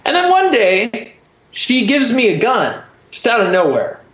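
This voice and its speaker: A man's voice: very high-pitched, huge pitch swings, unhurried, fairly bright tone. A man in his thirties telling a personal story as a podcast guest in a casual, wry, self-deprecating way. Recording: roomy, echoey podcast recording.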